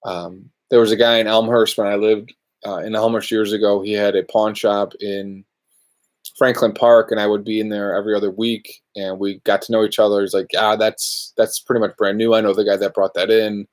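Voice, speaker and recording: A man's voice: fairly low pitch, level tone, a little fast. A man hosting a live luthier Q&A from his workshop, chatting casually and rambling through viewer questions. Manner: laid-back, rambling, answers viewer questions. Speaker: luthier podcast host.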